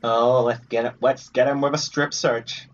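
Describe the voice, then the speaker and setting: A man's voice: mid-range voice, steady tone, normal speed, lo-fi sound. A man giving casual, sardonic and grumbling commentary while playing a video game. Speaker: gaming video host. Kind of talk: casual let's play commentary.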